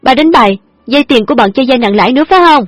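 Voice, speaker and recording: A woman voicing a hot-tempered poor young woman who scolds her father in quick, shrill retorts. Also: a bit bright, no echo, soft background music. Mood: angry scolding.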